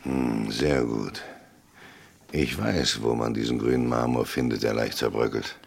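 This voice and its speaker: An actor playing a shrewd, gruff police chief in a German radio crime drama, speaking tersely and deliberately with a gravelly, husky voice. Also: male voice, a bass voice, exaggerated voice. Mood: pondering, shrewd.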